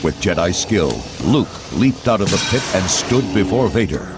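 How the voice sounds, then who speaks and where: A man narrating a children's read-along adventure in a measured, theatrical, scripted storytelling style. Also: low voice, big pitch swings, very noisy. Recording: loud music and effects underneath.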